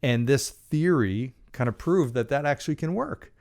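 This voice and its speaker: A man in his forties hosting a solo leadership podcast in a small treated studio, talking casually with jokes and lively gestures. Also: medium pitch, moderate pace. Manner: casual, joking, animated with hand gestures. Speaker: podcast host. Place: small studio with dark acoustic panels. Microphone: large studio condenser mic in front.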